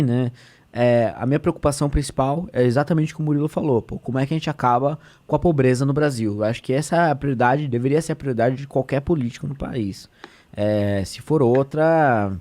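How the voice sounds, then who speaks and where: A man's voice: mid-range voice, warm tone. A man, a state deputy talking as a podcast guest, explaining in an earnest, conversational way with many fillers and restarts.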